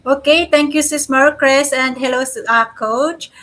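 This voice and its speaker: A cheerful woman in her thirties with a Filipino accent. Her voice is bright and a bit high. She speaks with lots of fillers on a video call. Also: fairly even intonation, unhurried. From Philippines. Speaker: panelist.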